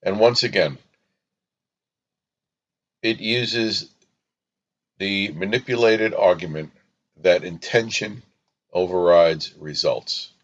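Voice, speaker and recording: A man giving scripted spiritual teaching as a podcast host, solemn and deliberate, in short emphatic phrases. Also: sits low, dull audio.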